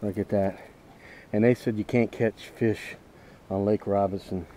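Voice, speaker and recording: A man's voice: fairly low pitch, fairly even intonation, a little slow, dark tone. A man giving casual, folksy running commentary while fishing from a small boat, as if to himself and his viewers. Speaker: fishing vlogger. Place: outdoors on a small boat on a lake. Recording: faint outdoor boat and water noise. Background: boat and water noise.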